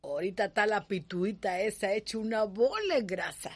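An actress with a Cuban accent playing a bossy, affectionate, folksy nursemaid in a radio soap opera. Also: female voice, medium pitch, expressive, normal speed, lightly acted. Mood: coaxing, scolding. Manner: coaxing, folksy, scolding.

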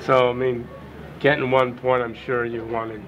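Guy reporter tossing casual questions, dull sound, noisy behind him, medium pitch. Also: flat delivery, a little slow. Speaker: sports reporter. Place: indoor arena media area. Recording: dull, noisy off-camera audio.

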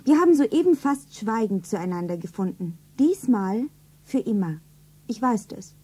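A woman's voice: medium pitch, lively intonation, warm tone. An actress playing a lovestruck, ordinary young working girl who earnestly narrates her own romance in a stylised 1970s radio play. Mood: dreamy narrating. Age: young adult. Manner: breathy, earnest, deliberate self-narration.

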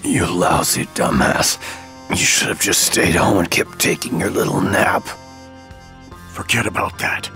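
An actor playing a badly wounded alien warrior in an anime game, straining raspily to speak in broken, gasping phrases. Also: male voice, deep voice, a little slow, bright, forceful tone. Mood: bitter, weary. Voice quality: raspy, strained. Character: badly wounded, loyal male alien warrior, near death. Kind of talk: dramatic dubbed anime game acting. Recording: music under the voice. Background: music.

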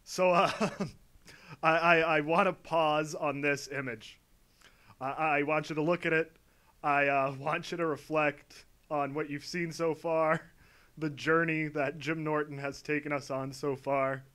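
Male reaction commentator, pitched a little high. Exasperated, sweary, stammering, mocking. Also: flat delivery, a little slow, fairly bright tone, clear, resonant tone. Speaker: video commentator.

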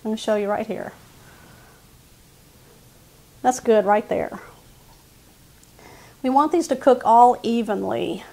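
A woman's voice: on the high side, unhurried, gravelly, dark tone. A woman, giving friendly step-by-step baking instructions in her home kitchen. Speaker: cooking channel host. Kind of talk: casual cooking demonstration.

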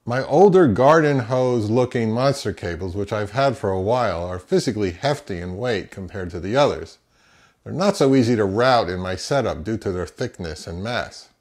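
Middle-aged male audio gear reviewer, lowish pitch, conversational product-review narration for a home channel. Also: expressive, moderate pace, darkish tone. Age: 50s to early 60s. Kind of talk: conversational, to camera.

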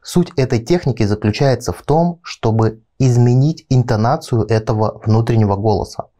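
A man's voice: mid-range voice, moderate pace, mellow. A man in his thirties giving an instructional talk as a video coach, explaining step by step and addressing the viewer directly. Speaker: video blog host, coach and trainer. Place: small indoor studio with panelled backdrop. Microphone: lapel mic.

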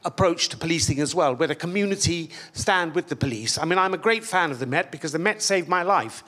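A man in the audience of a panel event who speaks loudly without a microphone, earnestly asking his question. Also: a little high, expressive, fairly quick. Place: conference room audience. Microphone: far room microphone, no handheld.

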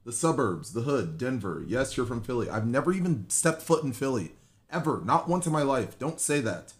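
A man's voice: medium pitch, big pitch swings, normal speed. The young American guy streaming from his bedroom, ribbing his partner and his viewers, voice leaping up and down. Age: 20s. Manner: joking, teasing, slangy. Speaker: live streamer. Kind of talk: casual chat with viewers and partner. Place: small bedroom, indoors.

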